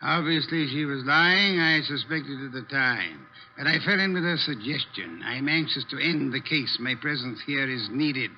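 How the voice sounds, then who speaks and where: An actor playing a pompous, irritable genius detective in an old radio drama, with ornate, exclaiming speech. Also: male voice, medium pitch, expressive, moderate pace, a little hiss or hum, close to an everyday voice. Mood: pompous, brisk. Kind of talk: 1940s-50s radio drama acting. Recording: dull vintage radio recording.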